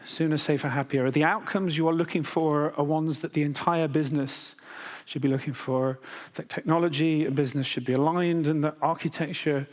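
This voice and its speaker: A British man in his fifties giving a conference keynote talk, measured and unhurried, with frequent filler sounds. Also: a little high, steady tone. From Britain. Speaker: keynote speaker. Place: large conference hall. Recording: hall reverb.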